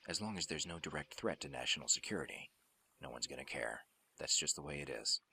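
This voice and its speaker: A man playing a gruff, hardened covert soldier in a radio drama, terse, controlled and pragmatic, with a very deep, gravelly voice. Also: expressive, a little fast, pushed and bright, slight background noise, full character voice. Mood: grim, matter-of-fact. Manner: terse, hard-edged, controlled.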